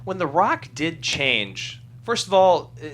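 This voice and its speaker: A man's voice: a little high, big pitch swings, slow, fairly bright tone. A young man chatting casually about films as a podcast guest, with some noise and hum behind him. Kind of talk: casual film discussion. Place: small indoor studio.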